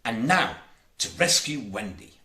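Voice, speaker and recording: Man voicing a bright, bold storybook boy hero. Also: medium pitch, big pitch swings, measured pace, echoey room. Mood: determined. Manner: urgent, declamatory. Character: bold, heroic adventurous boy of storybook fantasy. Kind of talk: storybook character voice.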